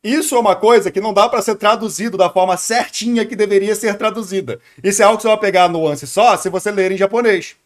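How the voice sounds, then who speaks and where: Chatty, higher-pitched Brazilian male streamer. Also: fairly even intonation, fast, few pauses. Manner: chatty, rambling, informal. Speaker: streamer reacting to a video. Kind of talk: casual off-the-cuff reaction commentary.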